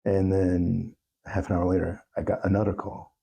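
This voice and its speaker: A man speaking as an interview guest, telling personal stories in a reflective, halting and earnest way. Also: deep voice, little pitch movement, vocal fry, dark tone, slightly rough. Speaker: talk show guest, songwriter.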